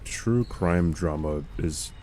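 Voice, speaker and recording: A man streaming a game live, chatting casually with viewers in a joking, rambling way, with a calm radio-type voice. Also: low-pitched, takes it slowly, slight background noise. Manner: joking, rambling, many fillers.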